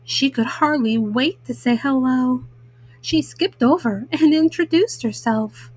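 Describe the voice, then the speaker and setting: A woman reading a children's picture book as a storytime host, with measured, child-directed delivery. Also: high-pitched, a little mellow, slightly rough, low-quality recording.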